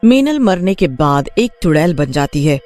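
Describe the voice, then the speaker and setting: Animated woman narrating a story. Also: deep voice, normal speed, soft background music.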